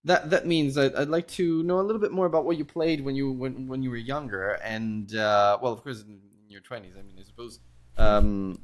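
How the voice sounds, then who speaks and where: A man's voice: mid-range voice, brisk. A man hosting an interview podcast in a polite, conversational way, often wordy and hesitant as he talks.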